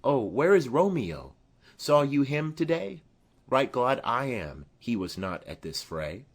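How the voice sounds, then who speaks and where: Guy reading as worried shakespeare noblewoman, husky, pitch moving around. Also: very animated, unhurried. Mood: anxious. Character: anxious noblewoman, mother of a young son. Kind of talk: Shakespearean audiobook acting.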